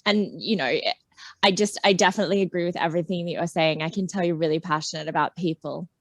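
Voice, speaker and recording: A woman's voice: lowish voice, steady tone, fast, no room sound. A woman hosting a live webcast interview, affirming her guest and asking follow-up questions. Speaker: webcast host. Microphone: video call.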